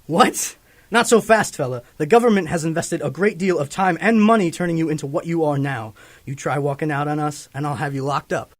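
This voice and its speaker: An actor playing a stern, bossy, indignant government military official in a superhero audio drama. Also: male voice, a little high, fairly quick, no echo, natural voice. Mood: indignant, threatening. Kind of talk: fan-made superhero audio drama acting.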